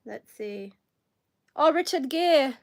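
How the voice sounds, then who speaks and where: A woman's voice: high-pitched, lively intonation, slow pace. A woman with a Kenyan accent hosting a casual livestream chat, rambling and correcting herself with frequent laughter, sounding a bit tired. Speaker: livestream host.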